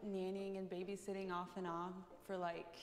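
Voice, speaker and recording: A woman's voice: on the low side, flat delivery, normal speed. Young woman chatting in an echoey room. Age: 20s. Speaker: nanny taking part in a group discussion. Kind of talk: casual chat. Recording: echoey room with long reverb.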